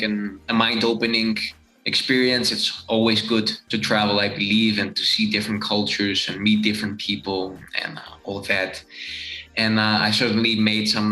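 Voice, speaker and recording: A man from Greece in his thirties, a fitness coach answering interview questions in a relaxed, reflective way with many fillers. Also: fairly low pitch, echoey room, low-quality recording. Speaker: fitness coach and channel host.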